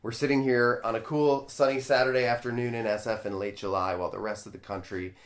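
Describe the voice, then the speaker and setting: A man's voice: mid-range voice, fairly even intonation, fast. A man hosting an interview in a conversational style. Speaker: interview host.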